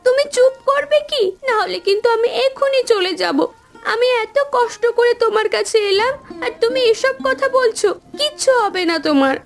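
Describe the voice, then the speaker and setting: A very high-pitched young wife and daughter, anxious and soft-hearted. She speaks with pleading emotion over faint music. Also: expressive, moderate pace. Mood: upset. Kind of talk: Bengali cartoon voice acting.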